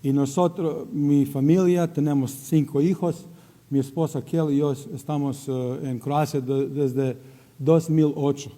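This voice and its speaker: A middle-aged man with a Croatian accent speaks slowly, in broken Spanish, often stopping to find a word and slipping into English now and then. His voice is mellow and sits a little high for a man. It rings with the long echo of a church hall. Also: steady tone. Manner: halting non-native Spanish, searching for words, slipping into English. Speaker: missionary. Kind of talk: church greeting and testimony. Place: church sanctuary.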